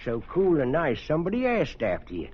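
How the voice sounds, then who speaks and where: An American actor playing a folksy, gossiping small-town druggist in an old radio drama, rambling along. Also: male voice, fairly high pitch, huge pitch swings, fairly quick. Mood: chatty, admiring. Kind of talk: mid-century radio character acting. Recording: muffled, noisy old radio recording.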